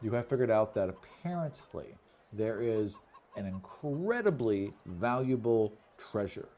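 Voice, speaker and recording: A man's voice: on the low side, sing-song, unhurried, mellow, slight background noise. A man acting as game master, improvising fantasy narration mixed with casual chat, often stopping to rephrase as he describes the scene.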